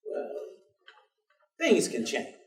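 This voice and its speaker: An actor playing a folksy, devout, good-humored man in a staged play reading, speaking in loose vernacular and gently probing with questions. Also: drawn-out words. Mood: thoughtful. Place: indoor theater stage. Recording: echoey theater, distant microphone.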